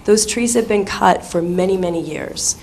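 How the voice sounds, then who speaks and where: A hoarse, sick woman presenting a restoration plan at a public hearing, earnest and persuasive. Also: medium pitch, moderate pace, a little mellow, a little hiss or hum. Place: town hall meeting room. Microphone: shared table microphone.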